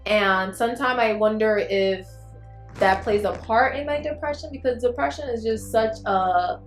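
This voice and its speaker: A woman's voice: fairly high pitch, moderate pace, soft background music. Woman chatting candidly in an echoey room. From Liberia. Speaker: vlogger hosting a YouTube channel. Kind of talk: casual confessional chat to camera.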